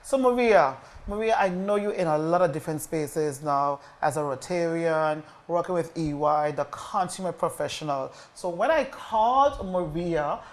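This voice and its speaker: A woman's voice: low voice, lively intonation, normal speed, few pauses. A woman hosting a conversational interview in an encouraging, affirming manner. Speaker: talk show host.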